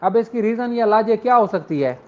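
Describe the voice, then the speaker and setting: A man's voice: high-pitched, lively intonation, fairly quick, slight background noise. A man with a Pakistani accent giving an informal law lecture, explaining things and mixing in English legal terms. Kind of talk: informal lecture, reading statutes aloud and explaining them. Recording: dull lo-fi recording.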